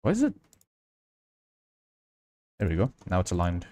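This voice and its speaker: Low-voiced man casually narrating his livestream. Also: huge pitch swings, a little slow, mellow, no echo. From Indonesia. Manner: thinking aloud, reading out and answering chat. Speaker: livestream host. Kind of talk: casual livestream tutorial chat while working.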